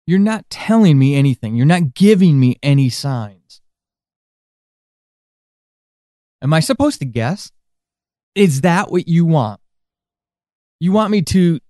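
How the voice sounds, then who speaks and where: A man playing a worried, frustrated friend in an audio drama monologue, speaking haltingly and intensely. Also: on the high side, dark tone, dry recording, clean studio sound. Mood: frustrated, pleading.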